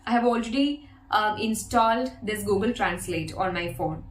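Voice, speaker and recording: A woman's voice: medium pitch, moderate pace, echoey room, a little hiss or hum. A woman whose first language is Malayalam, teaching an English lesson and patiently demonstrating an app step by step. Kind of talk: video lesson.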